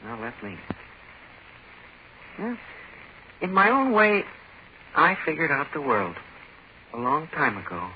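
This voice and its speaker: Menacing everyman, halting and insistent, drunk on deadly power, swooping lines, muffled vintage radio drama sound. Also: fairly high pitch, sing-song. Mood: halting, self-justifying. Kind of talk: 1940s radio horror acting. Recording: muffled, noisy old 1940s radio recording.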